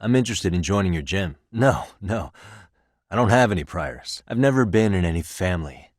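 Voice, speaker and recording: A cool, principled investigator from a video game. The voice is very low-pitched and recorded dry and close, with no room sound. The delivery is casual and earnest, with an easygoing confidence. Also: male voice, animated, moderate pace, no echo. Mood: casual, assured. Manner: casual, self-assured, earnest. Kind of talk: English video game voice acting.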